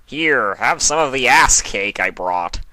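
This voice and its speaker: Man voicing a bright, gleeful chaos spirit. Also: mid-range voice, animated, a little slow, dry recording, voice pushed up, rough recording. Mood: flippant. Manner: theatrical, mocking. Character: gleeful, flippant male chaos spirit, mischievous villain. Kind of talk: fan fiction character reading.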